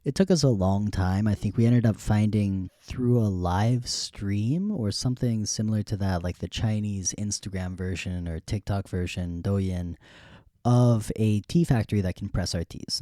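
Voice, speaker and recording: A young man with a lowish, mellow voice, telling a story at an easy, rambling pace. He laughs now and then between thoughts. The sound is close and dry, with no room around it. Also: normal speed, dry recording. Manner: relaxed, rambling, laughs often. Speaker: podcast host. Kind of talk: casual storytelling.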